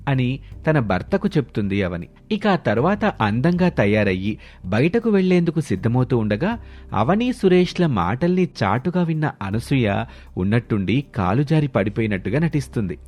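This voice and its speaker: A man's voice: mid-range voice, very animated, normal speed, a little mellow. A man narrating a scripted family moral story as a storyteller. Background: background music.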